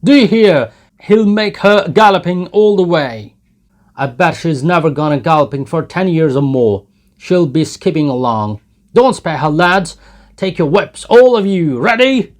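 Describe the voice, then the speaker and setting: Rowdy drunken men jeer and mock from the crowd, as a male reader puts them on. The voices are raised high and loud, full of scornful laughter in the words. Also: lively intonation, a little slow. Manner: mocking, jeering.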